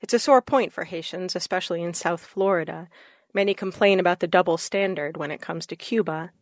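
A woman reporter narrates a radio news story in a calm, steady way. Her voice sits a little low, and the recording is dry and close. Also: brisk, dry recording.

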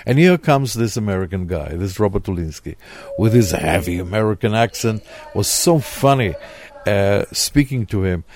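Slow-spoken man, low voice. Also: lively intonation, darkish tone. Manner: slow, emphatic, searching for words. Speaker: radio host. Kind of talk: interview answers.

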